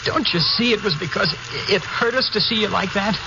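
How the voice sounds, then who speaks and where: An actor playing an earnest, guilt-ridden son in a 1950s radio drama. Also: male voice, sits high, moderate pace, creaky, background noise. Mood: stunned, guilty. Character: earnest, guilt-ridden grown son who walks with cane. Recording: muffled 1950s radio recording.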